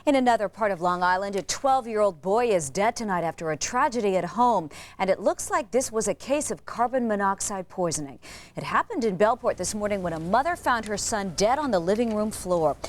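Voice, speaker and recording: A woman's voice: medium pitch, expressive, a little fast. A woman with an American accent reading scripted news. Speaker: newsreader.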